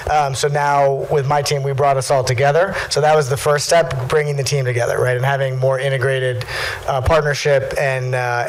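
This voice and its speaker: A man speaking as a business panelist, explaining casually and haltingly, often repeating his words. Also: fairly high pitch, rough recording. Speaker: marketing executive panelist. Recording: live event with clear background noise.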